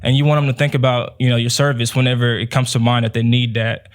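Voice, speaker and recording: Young man, monotone delivery, relaxed, filler-heavy. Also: medium pitch, quick pace. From Nigeria. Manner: relaxed, many "um" and "you know". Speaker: guest, business owner. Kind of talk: casual interview answers.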